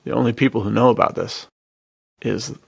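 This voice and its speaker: A man's voice: medium pitch, fairly quick, creaky, slightly rough, no echo. A man co-hosting a podcast, chatting casually and enthusiastically about stories in a slangy way. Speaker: podcast co-host. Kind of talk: casual film and book discussion.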